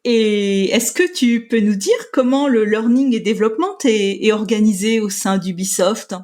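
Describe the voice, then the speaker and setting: A woman's voice: mid-range voice, steady tone, moderate pace, a little mellow. A woman hosting a podcast, asking her guest questions in a friendly, conversational way. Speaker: podcast host. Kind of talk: interview questions.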